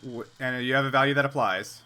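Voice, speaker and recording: A man acting as game master, casually narrating a tabletop roleplaying game in a halting, conversational way. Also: mid-range voice, bright, forceful tone, smooth.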